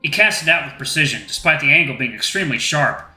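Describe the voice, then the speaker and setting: A man's voice: fairly high pitch, expressive, quick pace, bright, forceful tone. A man hosting a gaming channel, reading a visual novel aloud with casual asides to viewers. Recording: echoey room, faint music.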